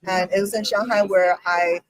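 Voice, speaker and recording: A woman's voice: medium pitch, steady tone, moderate pace. A woman in her thirties, from China, co-hosting a casual podcast chat, talking freely with many ums and likes. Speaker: podcast co-host.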